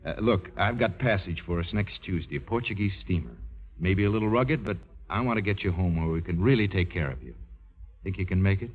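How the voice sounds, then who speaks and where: An actor playing a confident, breezy, take-charge husband in a 1950s radio drama. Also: male voice, deep voice, lively intonation, a little fast, slightly rough. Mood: brisk, confident. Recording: phone-like vintage radio broadcast.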